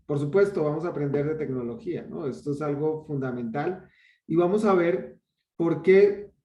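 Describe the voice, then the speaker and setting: Man, Colombian accent, fairly high voice, mellow tone, earnest and halting, roomy echo. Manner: hesitant restarts, earnest. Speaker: bootcamp organizer. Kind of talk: online welcome speech.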